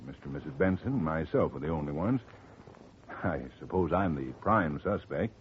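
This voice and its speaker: Deep, animated, glib radio lawyer. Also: male voice. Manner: brisk, folksy, distracted. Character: busy, glib middle-aged male lawyer, smoothly evasive. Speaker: radio drama actor. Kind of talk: 1950s radio acting. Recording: muffled, noisy old radio recording.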